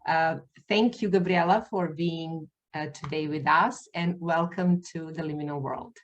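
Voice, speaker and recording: A woman hosting an interview podcast over a video call, talking haltingly with many fillers and restarts, English not her first language. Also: deep voice, steady tone, lo-fi sound. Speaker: podcast host.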